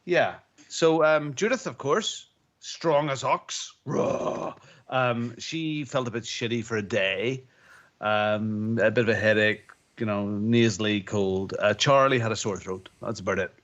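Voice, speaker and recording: A man co-hosting a casual podcast, chesty with a lingering cough, rambling with halting restarts. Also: on the low side, a bit bright, dull audio. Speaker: podcast co-host. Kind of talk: casual chat.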